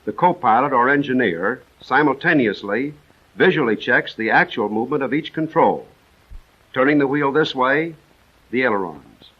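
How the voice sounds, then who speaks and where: A dull, band-limited 1940s film soundtrack carries an American man's voice at a medium pitch. He narrates military instruction from a script, measured and precise, setting out each step in an orderly way. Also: lively intonation, breathy edge.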